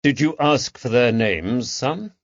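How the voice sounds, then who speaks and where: A man's voice: medium pitch, animated, takes it slowly, no echo, highs cut off, pitched above the performer's own voice. A man playing a wry constable. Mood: teasing. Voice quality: blunt, wry, colloquial.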